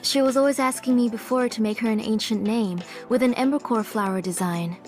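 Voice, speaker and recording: A woman playing a gentle, careful mother. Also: on the high side, natural voice. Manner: gentle, fussing, measured. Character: caring mother, careful and worried. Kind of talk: game cutscene voice acting. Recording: game audio with background music.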